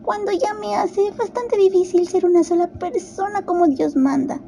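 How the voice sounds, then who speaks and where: A woman's voice: very high-pitched, normal speed, vocal fry, dark tone, a little hiss or hum, voice pushed up. A woman voicing an anxious, curious little girl who talks earnestly to herself in a storybook audio drama. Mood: forlorn.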